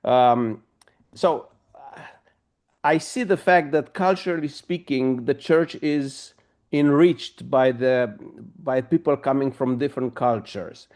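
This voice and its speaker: A middle-aged pastor with a Romanian accent, asking a long, halting question at a discussion with many pauses and fillers. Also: male voice, mid-range voice, a little mellow.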